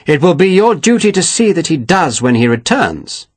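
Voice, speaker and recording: A man's voice: on the high side, sing-song, moderate pace, no echo, pitched above the performer's own voice. A man voicing a genteel, evasive army colonel with a breezy, placating manner.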